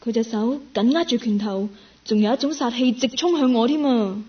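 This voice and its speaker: An actress plays a friendly, inquisitive young woman in a Cantonese radio drama. Her voice sits high, with a Hong Kong accent, and the recording is slightly dull and lo-fi. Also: normal speed, natural voice. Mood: musing. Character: curious, friendly young woman, part-time worker, nicknamed Meow. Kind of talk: radio drama acting with first-person narration.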